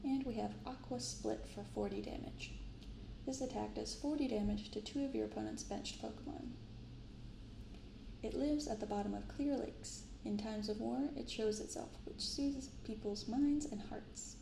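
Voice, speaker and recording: A woman speaking softly in an ASMR style, giving gentle, unhurried casual commentary as host of a card-opening video. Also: fairly high pitch, creaky, roomy sound, slight background noise. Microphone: binaural microphone.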